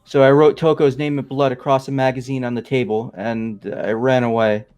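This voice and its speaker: A man's voice: medium pitch, little pitch movement, moderate pace, darkish tone, soft background music, lightly acted. A man voicing a nervous young man who rambles defensively through a confession, as fan voice acting of a game. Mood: anxious.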